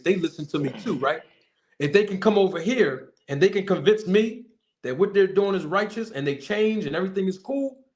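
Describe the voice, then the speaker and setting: A man who manages boxers and hosts his own online show, talking emphatically in a casual, slang-heavy style with repeated, preacher-like emphasis. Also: a little high. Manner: forceful, repetitive, preacher-like emphasis. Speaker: boxing manager and channel host.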